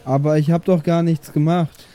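A man's voice: a little high, little pitch movement, moderate pace, mellow, soft background music. A man improvising casually as a player in a tabletop role-playing game.

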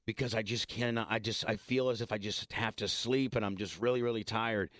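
A man hosting a radio show in casual banter, hoarse and rambling in stop-start bursts with self-deprecating asides. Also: fairly low pitch, fairly even intonation, brisk, fairly bright tone, no echo, studio quality. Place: radio studio.

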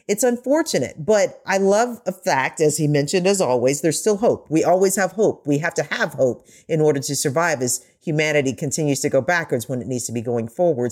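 Female podcast host, slightly low pitch, conversational, emphatic, effusive. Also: darkish tone.

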